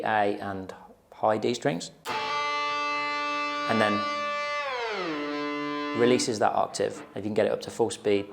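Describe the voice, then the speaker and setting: Young male guitar teacher, gravelly, lapel mic, guitar behind him. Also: medium pitch. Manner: explains step by step, counts beats aloud, sings riffs. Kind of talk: casual guitar lesson. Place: small plain indoor studio. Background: electric guitar playing.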